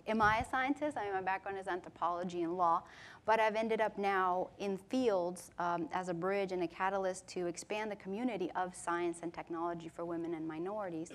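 A woman in her thirties who grew up in Honduras speaks on a panel stage. She tells her story in an animated, conversational way, often with "like" and repeated words. She speaks into a lapel mic. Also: fairly low pitch, a little hiss or hum. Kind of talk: panel discussion.

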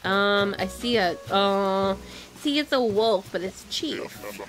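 A woman streaming a game, chatting casually, playfully dramatic and pleading, her voice going hoarse. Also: medium pitch, slow pace, rough recording. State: losing her voice. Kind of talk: casual gameplay commentary. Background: game music.

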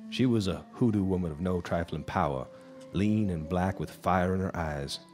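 A man's voice: sits low, moderate pace, a little mellow, faint music behind, lightly acted. An actor playing a bitter, haunted, hard-drinking guitarist, telling his tale in a brooding, theatrical noir radio drama style. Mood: weary, bitter. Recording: live stage recording with background noise.

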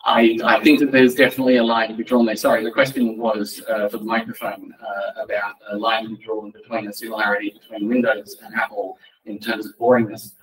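A man's voice: lowish voice, level tone, fairly quick. A man speaking informally at a tech meetup, answering questions with hesitant, self-correcting starts. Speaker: conference speaker. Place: indoor meeting room, speaking at a lectern. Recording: distant mic in a meeting room.

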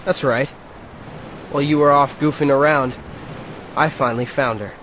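A man's voice: mid-range voice, fairly even intonation, normal speed, a bit bright, slight background noise, close to an everyday voice. An actor playing a cold, arrogant teenage boy rival in a video game, with a taunting, self-assured manner.

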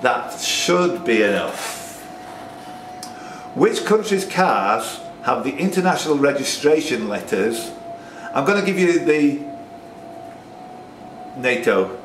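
A man's voice: medium pitch, big pitch swings, slow, pauses often. Guy quizmaster in a pub reading questions n joking about, far from the mic, loads of echo. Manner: chatty, jokey asides between questions. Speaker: quiz host reading questions. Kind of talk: live pub quiz hosting, questions and banter. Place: indoors in a pub. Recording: echoey, noisy pub, distant microphone.

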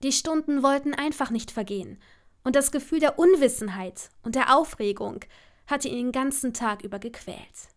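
Higher-voiced woman narrating a story. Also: moderate pace.

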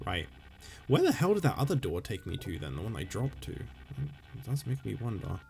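A man rambling casually and swearing while playing a game, his voice going hoarse. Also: fairly low pitch, very animated, normal speed, mellow, slight background noise, rough recording. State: losing his voice. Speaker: gaming YouTuber. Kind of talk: casual let's-play commentary.

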